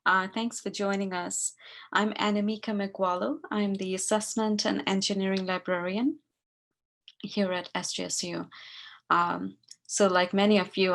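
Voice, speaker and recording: A woman's voice: medium pitch, flat delivery, moderate pace, gravelly, a bit bright. A woman from India who works as a librarian, introducing herself on an online panel over a video call, hesitant and full of restarts. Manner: hesitant, with many restarts and fillers.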